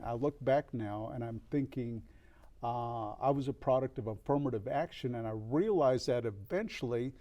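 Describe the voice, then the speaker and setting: An older man from El Paso talks as a guest in an interview. He speaks in a reflective, earnest way, restarting and pausing often as he works through his thoughts. His voice sits at an ordinary middle pitch. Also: dark tone. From El Paso, Texas. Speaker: guest, record store owner. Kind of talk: candid interview conversation.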